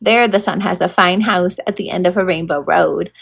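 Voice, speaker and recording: A woman's voice: lowish voice, steady tone, normal speed, vocal fry, a bit bright, husky, full character voice. A woman voicing a formal, fussy, stern male horned toad mentor in a storybook read-aloud. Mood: matter-of-fact. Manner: proper, grandly worded, matter-of-fact.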